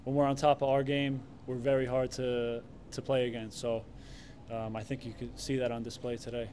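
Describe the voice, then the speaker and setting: A man in his thirties, a goalkeeper answering reporters' questions at a press conference in a relaxed way, with many fillers and restarts. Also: mid-range voice, normal speed, slight background noise. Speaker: soccer goalkeeper. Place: stadium press room.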